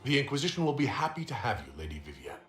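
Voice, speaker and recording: An actor playing a composed, direct fantasy hero in a video game, speaking politely and formally, with a probing manner. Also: male voice, mid-range voice, wildly swooping pitch, racing, a bit bright. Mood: gracious. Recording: reverberant room with faint music underneath.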